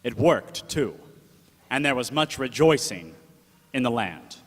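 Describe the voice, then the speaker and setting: A man's voice: mid-range voice, expressive, a little slow, background noise, lightly acted. Man playing a gangster-tale narrator in an echoey hall. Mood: storytelling. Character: Mordecai, gangster-tale narrator, loyal uncle turned second in command. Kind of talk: 1930s gangster radio drama narration. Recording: echoey hall, long reverb.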